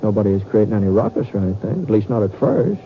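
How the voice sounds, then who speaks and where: An actor playing a mild, hesitant gunfighter in an old-time radio western, talking in a halting, folksy way and repeating himself. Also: male voice, on the low side, big pitch swings, a little hiss or hum. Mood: rueful narrating. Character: mild, hesitant, drawling Old West gunfighter telling his tale. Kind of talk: old-time radio western acting and first-person narration. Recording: dull vintage radio recording, faint music.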